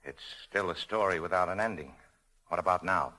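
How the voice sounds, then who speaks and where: A bitter, world-weary jilted lover in a 1940s radio drama. His voice is deep with a hard, forceful edge. He is curt and sardonic, like a hard-boiled cynic. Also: fairly quick, poor audio. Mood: guarded, resolved. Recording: old 1940s radio broadcast.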